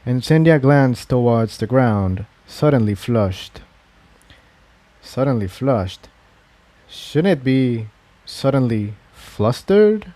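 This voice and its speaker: A man's voice: medium pitch, expressive, slow pace, mellow, no room sound. A man reading a fantasy adventure story aloud as its narrator. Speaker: fan fiction narrator.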